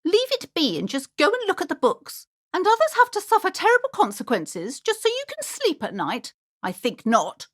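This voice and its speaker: A high, swooping voice for a prim middle-aged bookshop owner. She is a strict, superstitious mother with a haughty, easily offended manner. Her delivery is theatrical video game acting, full of emphatic turns. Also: huge pitch swings, moderate pace, a bit bright, heavily acted. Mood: haughty, scolding.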